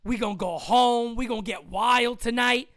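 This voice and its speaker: An excitable man hosts a live stream. He drops to a mutter and then bursts into yelling. His voice sounds strained from the shouting. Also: very high-pitched, moderate pace. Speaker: live-stream sports show host. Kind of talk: casual live-stream commentary.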